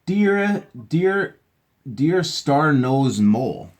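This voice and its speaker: Man reading as a curious, blunt storybook letter-writer, raised pitch. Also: very animated, extremely slow, exaggerated voice. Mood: nosy wonder. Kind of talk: children's storybook read-aloud.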